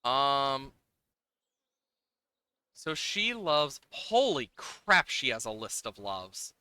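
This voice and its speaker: A man's voice: medium pitch, bright, forceful tone, clean tone, no room sound. A man giving casual, playful live commentary on a game, thinking aloud as he plays, with game music behind him. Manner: playful, hums and makes sound effects while talking.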